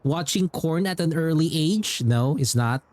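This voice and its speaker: A man's voice: fairly high pitch, animated, measured pace, a little mellow, no echo. A man livestreaming a game, chatting casually and rambling as he repeats himself.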